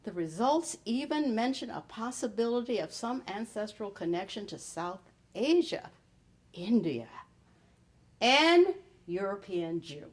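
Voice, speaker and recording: A middle-aged woman reading her personal essay aloud in a wry storytelling manner with pointed pauses. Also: mid-range voice, big pitch swings, slightly rough. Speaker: writer reading own piece.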